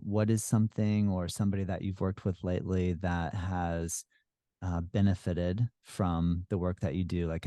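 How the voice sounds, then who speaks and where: A man hosting a podcast, his voice very low and mellow. The sound is dry and close, and he strings his questions together loosely while warmly affirming the guest. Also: dry recording. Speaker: podcast host. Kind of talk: casual interview.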